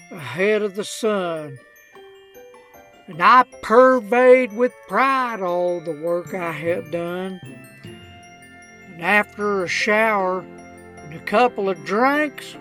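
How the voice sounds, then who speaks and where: Woman playing a slow-talking redneck old-timer. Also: mid-range voice, a bit bright. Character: crusty old redneck man, gun-toting, wryly unrepentant storyteller. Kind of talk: comedic rhymed storybook narration.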